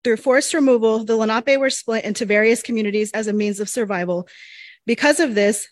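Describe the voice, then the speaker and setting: A woman from Oklahoma reading a prepared land acknowledgement in a measured, steady way. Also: fairly high pitch, no room sound. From Anadarko, Oklahoma. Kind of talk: formal reading of a prepared statement.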